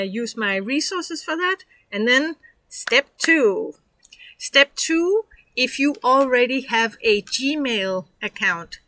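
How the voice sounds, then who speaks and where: A woman's voice: a little high, pushed and bright. A woman from Denmark with a Danish accent, walking viewers through a tutorial in a chatty, encouraging way with lots of fillers. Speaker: online course instructor. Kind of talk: casual screen-share tutorial.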